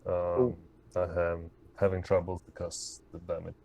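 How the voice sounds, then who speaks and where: A man's voice: low-pitched, monotone, a little slow, creaky, warm tone. A man playing a tabletop roleplaying game, speaking in short in-character reports and replies.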